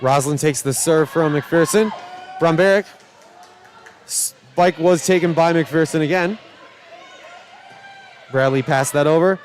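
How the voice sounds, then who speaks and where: A man calling high school volleyball play by play, shouting excitedly over rallies, his voice starting to go. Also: takes it slowly, pauses often, a faint crowd, slight background noise. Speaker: play-by-play announcer.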